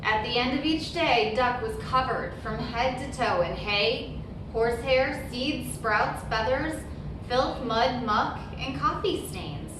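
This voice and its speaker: A woman reading a children's picture book aloud as a storyteller, with deliberate, emphatic phrasing and brief asides. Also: a little high. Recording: very echoey room, distant mic, noisy background.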